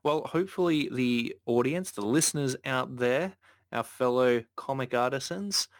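A man's voice: mid-range voice, moderate pace, no room sound, clean studio sound. A man hosting a casual podcast, talking earnestly with frequent self-corrections. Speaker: podcast host.

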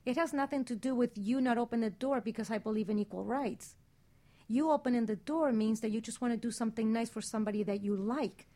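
Higher-pitched, insistent woman panelist. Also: quick pace, mellow. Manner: insistent, emphatic. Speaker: radio show panelist. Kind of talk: casual opinionated discussion.

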